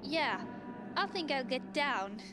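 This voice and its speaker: Loud music plays behind a high young voice. a plucky, questioning boy in a video game, blunt and inquisitive, with a Russian accent. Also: expressive, slow pace. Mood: uneasy. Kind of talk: video game character dialogue.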